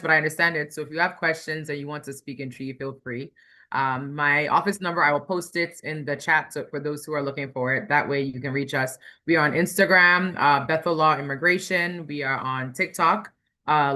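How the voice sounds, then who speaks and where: A woman's voice: low-pitched, a little fast, pushed and bright. A woman speaking as a guest attorney, explaining things plainly and step by step, repeating key points for clarity. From Ghanaian background. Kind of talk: explanatory interview talk.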